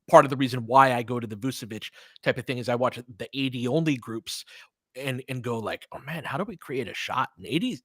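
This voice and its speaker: A man's voice: medium pitch, fairly bright tone, no room sound, studio quality. A man doing casual live basketball commentary as a fan host, slangy and sweary, talking to the players.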